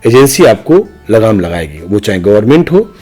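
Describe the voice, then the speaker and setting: A man's voice: on the low side, big pitch swings, moderate pace, dark tone, soft background music. Emphatic middle-aged man, rhetorical speaker. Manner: emphatic, rhetorical, speaks in repeated parallel phrases. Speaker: interview guest, senior news journalist. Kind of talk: podcast interview, reflective opinionated talk.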